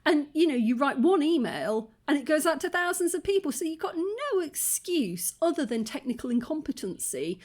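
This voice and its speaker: Woman, croaky voice, high-pitched, outspoken and indignant, casual reaction commentary. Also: sing-song, normal speed, hardly any pauses, a little mellow. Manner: outspoken, indignant, many fillers. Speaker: reaction video host.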